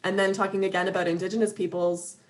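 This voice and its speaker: A woman's voice: mid-range voice, flat delivery, fast talker. A woman giving an earnest, conversational webinar talk over a video call, full of fillers and restarts, her voice a little worn. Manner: earnest, many fillers and restarts, laughs lightly between points. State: slightly losing her voice. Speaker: webinar speaker for an advocacy organization.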